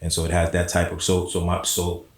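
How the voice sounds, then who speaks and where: Man, very low voice, monotone, laid-back, rambling guest, echoey room. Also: brisk. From South Florida. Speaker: guest, poet and spoken word artist. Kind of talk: casual interview conversation.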